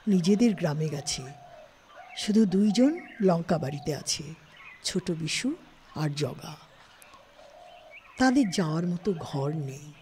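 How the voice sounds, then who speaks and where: A woman's voice: fairly low pitch, dark tone. A woman narrating a scripted literary story as an audiobook-style narrator. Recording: studio, faint background noise.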